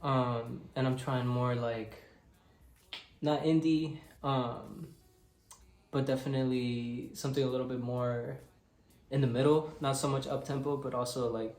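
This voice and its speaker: A man's voice: mid-range voice, fairly even intonation, unhurried, a little mellow, echoey room. A young man from Mexico answering interview questions in a laid-back, rambling way with many fillers. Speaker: interviewed musician.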